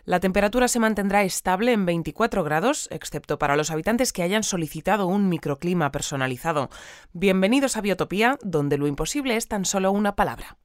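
An actress playing a composed female news presenter delivering a scripted bulletin in a measured way. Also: mid-range voice, lively intonation, dry recording, studio quality, close to an everyday voice. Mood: composed, announcing. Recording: music bed behind the voice.

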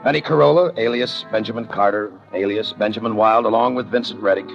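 An actor playing a hard-boiled, sardonic police lieutenant in a 1950s radio crime drama, with a clipped, tough delivery, on a muffled old recording. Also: male voice, lowish voice, quick pace, creaky, music in the background. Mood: dry, in control.

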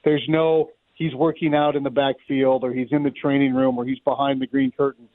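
A man's voice: medium pitch, flat delivery, brisk, no echo. A man giving conversational sports analysis as a baseball announcer being interviewed, wordy and repeating words as he thinks aloud. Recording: thin phone-like line.

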